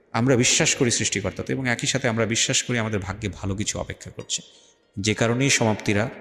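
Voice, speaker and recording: Reflective male host, echoing hall. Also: on the low side. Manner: measured, advising, mixes English phrases into speech. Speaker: radio show host. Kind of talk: interview and reflective commentary.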